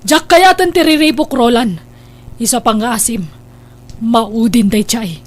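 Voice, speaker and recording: A woman's voice: a little high, a little slow. A woman acting in a Filipino radio drama as a betrayed, embittered wealthy wife, scornful and emphatic. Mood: scornful, angry.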